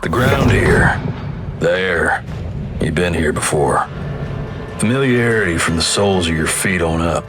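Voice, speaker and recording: Grave frontier storyteller in a game: low-voiced American Western drawl, ominous dramatic narration, loud score underneath. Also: male voice, lively intonation. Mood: grave, mysterious. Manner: slow, ominous, folksy phrasing. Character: weathered frontier narrator man, grave, brooding, mystical drawl. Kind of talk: dramatic scripted game narration, addressing the listener. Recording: loud background music. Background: loud music.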